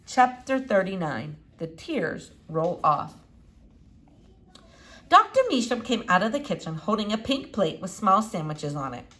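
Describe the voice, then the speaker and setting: A woman narrating a children's novel as an expressive read-aloud. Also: mid-range voice, measured pace. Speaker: storybook reader.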